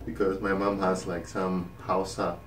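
A man's voice: deep voice, little pitch movement, a little slow. A young man with a Ghanaian accent, explaining things casually as an interview guest. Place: small indoor room. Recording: roomy, distant mic, music underneath.

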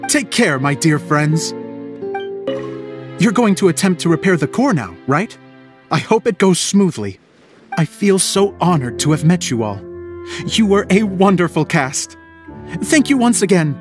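A woman voicing a gentle, sentimental director character in a video game, earnest and courteous in manner. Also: fairly low pitch, sing-song, normal speed, pauses often. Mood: grateful. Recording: game music under the voice.

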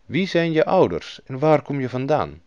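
A man voicing a kindly, thoughtful older king who speaks in a measured, dignified way. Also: medium pitch, sing-song, a little mellow, clean tone, dry recording, dull audio, pitched above the performer's own voice.

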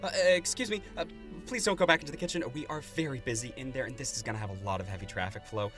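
A man's voice: medium pitch, rapid-fire, a bit bright, soft background music, pitched above the performer's own voice, close to an everyday voice. A man voicing a flustered, polite servant who stammers as he shoos guests away, in a tabletop game.

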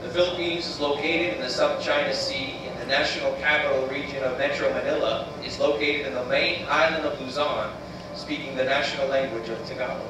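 A man in his thirties from the United States, giving an earnest, measured missionary presentation. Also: medium pitch, fairly even intonation. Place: church sanctuary, voice played on screen video. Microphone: recorded from room speakers by far camera. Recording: roomy, distant, noisy church playback.

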